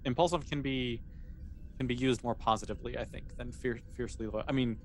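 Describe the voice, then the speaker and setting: A man's voice: medium pitch, fairly even intonation, fairly quick, background noise. A man running a tabletop game as game master, narrating in a casual, easygoing, improvised way.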